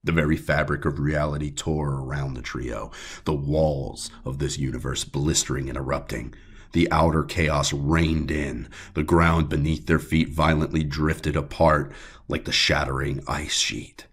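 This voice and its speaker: A man narrating a horror audiobook, reading scripted prose in a grave, dramatic and deliberate way. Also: extremely low pitch, flat delivery, husky.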